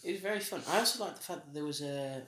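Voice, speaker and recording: A man co-hosting a casual review podcast, enthusiastic and quick to laugh. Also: a little high, expressive, bright, forceful tone, a little hiss or hum. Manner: enthusiastic, laughs often, acts out reactions. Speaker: podcast co-host. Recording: echoey room.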